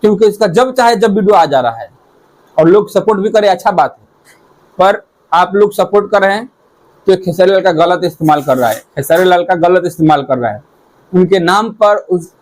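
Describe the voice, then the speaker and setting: High-pitched man commenting. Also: low-quality recording. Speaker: YouTube commentator. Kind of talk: informal commentary to viewers.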